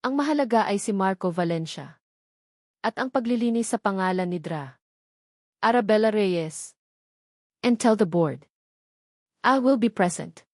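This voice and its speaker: A woman's voice: mid-range voice, lively intonation, a little slow, pauses often, darkish tone, no room sound, clean studio sound. Woman voicing a firm, resolute male chairman. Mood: cold, determined. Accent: Filipino. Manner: firm, commanding, switching into English. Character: resolute, cold-voiced male hospital chairman, secretly posing as guard.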